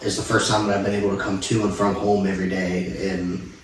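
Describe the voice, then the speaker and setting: A man in his mid twenties chatting informally and a little haltingly as co-host of a vlog. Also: low voice, level tone, slight background noise. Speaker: vlogger co-host. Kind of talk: casual vlog chat, Q&A. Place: home studio room, indoors. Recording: echoey room, distant mic.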